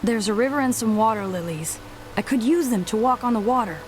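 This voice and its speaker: A woman's voice: on the high side, animated, fairly quick, noisy background, natural voice. A woman playing a feisty, brave young Smurf in a cartoon game, plucky and direct. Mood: alert. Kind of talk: animated game voice acting.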